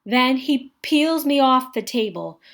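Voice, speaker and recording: Slow, expressive woman reading a children's story. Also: high voice. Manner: expressive, dramatic delivery with drawn-out words. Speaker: story reader. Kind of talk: animated children's picture book read-aloud.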